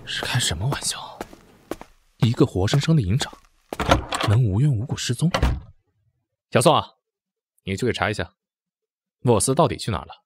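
An actor playing an earnest, loyal young army officer in a Chinese audio drama. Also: male voice, mid-range voice, expressive, moderate pace, pauses often, background noise, natural voice. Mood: authoritative, concerned.

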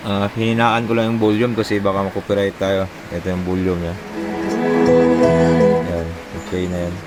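A man's voice: low voice, flat delivery, normal speed, over loud music. A man casually explaining a hands-on repair, informally tossing in short tag questions, like a tutorial vlogger.

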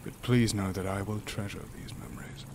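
A man plays a sentient monster from a sci-fi horror podcast. The creature is low-voiced and solemn, choosing formal words with measured care. There is some faint noise behind it. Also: steady tone, darkish tone, lightly acted. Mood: gentle, grave. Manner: formal, measured, stilted speech. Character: sentient imprisoned alien monster, gentle, solemn, formal, philosophical. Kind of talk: audio drama acting.

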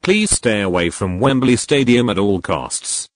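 Low-voiced man as emergency alert announcer. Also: animated, unhurried, no echo, studio quality. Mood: impersonal. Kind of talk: formal scripted public warning.

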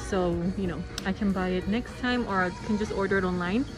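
A young woman in her twenties talks casually to her camera in a small bedroom, peppering her speech with "like" and "you know." Her voice sits at a middle pitch, and the room lends it a noticeable echo with a little music in the background. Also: fairly quick. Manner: chatty, filler-heavy, addresses viewers as "you guys". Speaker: beauty vlogger.

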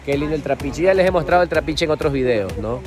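A man's voice: a little high, animated, fast talker. A man, chatting jokily to his phone camera outdoors in a casual travel vlog. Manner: chatty, jokey, laughing often. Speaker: vlogger. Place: outdoors at a riverside park. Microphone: phone camera at arm's length. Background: background music.

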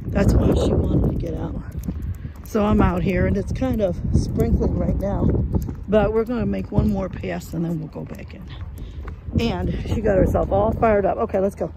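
An older woman talks in a casual, rambling way, like an informal vlog for family. Her voice is medium in pitch with plenty of creak. She is recorded on a handheld phone outdoors, with loud wind and outdoor noise around her. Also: moderate pace. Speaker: vlogger. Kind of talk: casual rambling narration to family while walking a dog. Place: outdoors on a rural driveway on a windy day.

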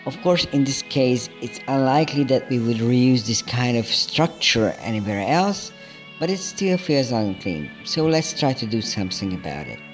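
A man calmly narrating a programming tutorial step by step in a scripted voice-over. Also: mid-range voice, moderate pace. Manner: calm, methodical, explaining each step. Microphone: voice-over over screen recording. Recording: soft background music.

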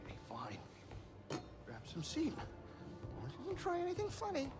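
Grumpy little male alien, suspicious, high and very animated, game music behind. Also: unhurried, heavily acted. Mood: grudging. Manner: grumbling, wary. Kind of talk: cinematic video game acting.

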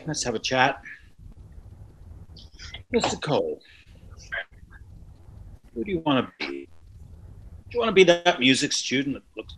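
Intimidating older music instructor, acted by a man, condescending, forceful tone, swinging pitch. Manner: condescending, goading. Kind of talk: script table read acting. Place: bright room at home, on video call. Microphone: computer mic on video call.

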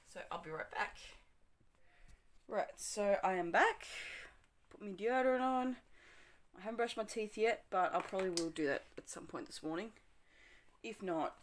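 A young Australian woman with a slightly croaky morning voice chats through her routine, rambling and correcting herself as she goes. Her voice sits a little low for a woman. She is recorded on a phone in a small bedroom. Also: slight background noise. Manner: chatty, rambling, self-correcting with frequent ums. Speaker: vlogger. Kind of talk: casual day-in-the-life vlog. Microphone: phone camera, handheld or propped up.

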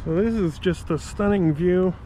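Guy doing a travel vlog walk outside in a park, kinda high voice, gushing and rambly, faint city hum behind. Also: moderate pace, dark tone, low-quality recording. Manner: enthusiastic, rambling with self-corrections. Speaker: travel vlogger. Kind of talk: narrated walking tour.

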